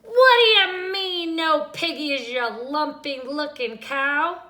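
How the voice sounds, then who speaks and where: Lady doing a shrill greedy witch, yelling insults, bright voice in an echoey room. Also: animated, slow, full character voice. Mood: bossy, screeching. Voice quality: screechy. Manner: shouting insults. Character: greedy, bossy, screeching witch woman. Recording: roomy echo.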